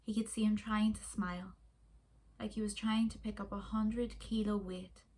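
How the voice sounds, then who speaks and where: A woman reading a children's story aloud in an expressive, dramatic way with deliberate pauses. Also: medium pitch.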